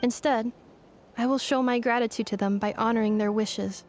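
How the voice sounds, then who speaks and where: A woman playing a composed, sincere robot in a video game, with formal, precise and measured speech. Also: a little high, fairly even intonation, natural voice. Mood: earnest, resolute.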